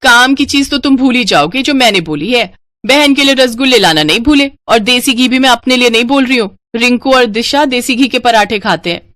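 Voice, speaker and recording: A woman voicing aggrieved young married women in an animated Hindi family story, with an emphatic, complaining manner. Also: a little high, fairly quick, dry recording, studio quality. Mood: sarcastic, nagging.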